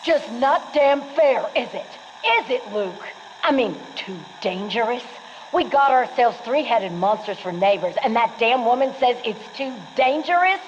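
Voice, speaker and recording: An actress playing a brash, folksy Marine quartermaster with an American accent, delivering sassy, salty patter for a game. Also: female voice, a little high, animated, unhurried. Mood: indignant. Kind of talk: scripted game monologue. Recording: dull, noisy radio log.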